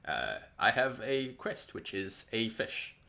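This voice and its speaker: A man's voice: lowish voice, sing-song, slow pace, creaky, bright, forceful tone. A man with a light New Zealand English accent, chatting casually and wryly on a live stream, often correcting himself. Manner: rambling, self-correcting, wry. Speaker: indie game developer streaming a devlog.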